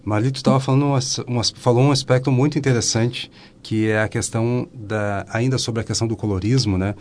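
A man's voice: medium pitch, fairly even intonation, fairly quick, a little mellow, a little hiss or hum. A man interviewing a guest, attentive and asking long, rambling questions. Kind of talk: conversational interview.

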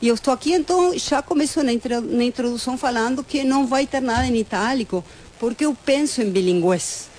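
A woman's voice: high-pitched, brisk, a little mellow. A woman from Argentina with an Argentine Spanish accent, a native Spanish speaker, talking as a poet guest in Portuguese, laughing often and hesitating.

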